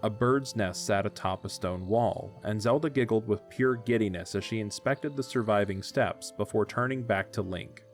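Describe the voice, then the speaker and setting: A man's voice: on the low side, moderate pace, hardly any pauses, faint music behind. A man narrating a scripted story as the narrator of an audio drama.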